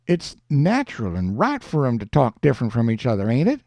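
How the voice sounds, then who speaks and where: A man's voice: medium pitch, huge pitch swings, fairly quick, dark tone, no room sound. A man voicing a plain-spoken, patient boy with a Southern US accent, explaining and questioning in folksy speech. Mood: reasoning.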